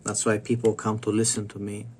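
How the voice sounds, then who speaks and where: A man with a raspy Bulgarian accent and a scratchy throat, ranting bluntly and provocatively in a casual monologue, coughing and repeating himself. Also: lowish voice, completely flat delivery, brisk. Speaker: wrestling commentator vlogger. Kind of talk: casual opinionated rant.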